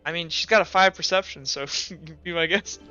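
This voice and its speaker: Guy running a tabletop rpg, bright forceful voice, stumbles along as he narrates, faint music, bit muffled. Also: fairly high pitch. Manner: halting, filler-heavy storytelling. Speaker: game master. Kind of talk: improvised tabletop game narration.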